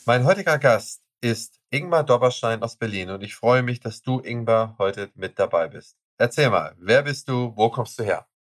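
A man's voice: medium pitch, lively intonation, moderate pace, few pauses, no room sound. A man hosting a podcast interview, talking in an enthusiastic, conversational way with some filler sounds. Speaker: podcast host.

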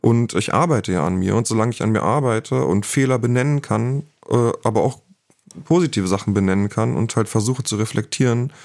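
A man's voice: on the low side, brisk, creaky, darkish tone. A man co-hosting a podcast, talking in a casual, reflective way with many fillers. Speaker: podcast co-host.

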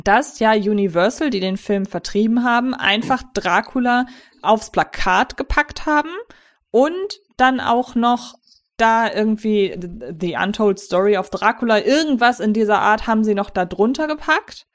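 A female podcast host with a fairly high voice talks over a horror film in a relaxed, conversational way. She explains her points at length and fills her pauses with small "ähm"s. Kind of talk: casual film review chat.

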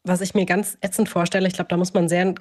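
A woman with a fairly low voice hosts a podcast conversation. She thinks aloud in long, winding sentences, pausing to find her phrasing. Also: flat delivery, a little mellow. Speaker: podcast host. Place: remote, joining on screen.

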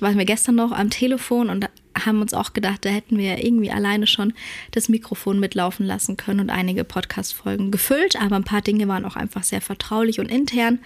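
Hoarse woman with a cold, podcasting. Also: medium pitch. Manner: earnest, with frequent fillers and self-corrections. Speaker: podcast host and dog trainer. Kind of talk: casual podcast monologue. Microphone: podcast microphone.

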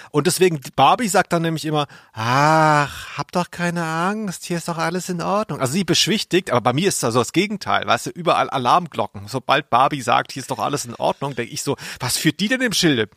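A man's voice: fairly high pitch, lively intonation, brisk, fairly bright tone, no room sound. Dry-recorded man, casual podcast co-host. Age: 30s. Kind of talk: casual humorous podcast chat.

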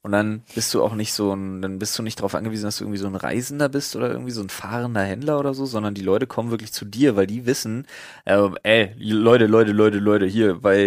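A man's voice: lowish voice, no echo, clean studio sound. A man chatting casually as a podcast co-host, joking in slang with English expressions mixed in.

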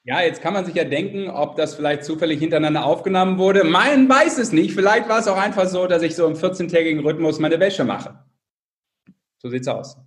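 Guy podcast host, slightly higher voice, jokey casual chat. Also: a little fast.

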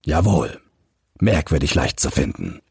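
A man voicing a calm, authoritative, knowing gentleman detective in an audiobook. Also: very deep voice, measured pace, gravelly, slightly rough, no echo, studio quality, voice pushed down, exaggerated voice. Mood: dry, knowing. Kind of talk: audiobook character reading.

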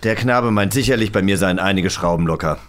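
A man's voice: sits low, fairly quick, fairly bright tone, slight background noise, voice pushed down. A man playing a pushy, effusive, over-dramatic film director in a radio play reading. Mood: flustered, grandiose. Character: excitable, gushing, pushy male film director.

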